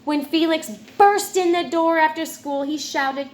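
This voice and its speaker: A woman's voice: high-pitched, a little slow. A woman reading a children's picture book aloud as narrator, with some noise in the recording. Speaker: storybook reader.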